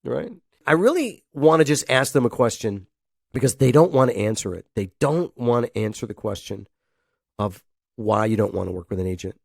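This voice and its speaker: Nasal man with a cold, podcast host, mid pitch, dry close sound. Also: animated, moderate pace, creaky, a little mellow, no echo. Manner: rambling, chuckles often, acts out phone calls. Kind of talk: casual solo podcast talk.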